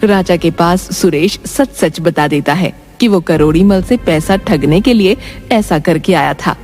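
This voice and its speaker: A woman's voice: fairly low pitch, unhurried, a little mellow. A woman reading scripted narration for a children's story. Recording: music behind.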